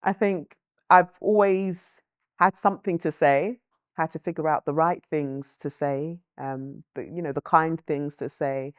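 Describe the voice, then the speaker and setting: A woman's voice: low-pitched, fairly even intonation, smooth, no room sound. Thoughtful woman, dry close sound. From born in Nigeria, raised in London. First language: Yoruba. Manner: thoughtful, measured, with frequent "um" and "you know". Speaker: author and guest. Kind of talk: reflective interview answers.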